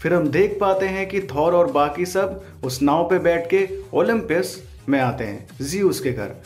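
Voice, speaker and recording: High-pitched man doing informal video commentary. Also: expressive, unhurried, a little mellow, faint music behind. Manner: informal, crude slangy joking. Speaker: video host. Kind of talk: trailer breakdown voice-over commentary.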